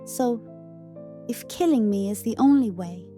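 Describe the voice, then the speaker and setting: A woman playing a gentle, remorseful young fighter-plane spirit in an audio drama, speaking softly, earnestly and haltingly. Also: fairly high pitch, lively intonation, faint music behind. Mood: pained, calm.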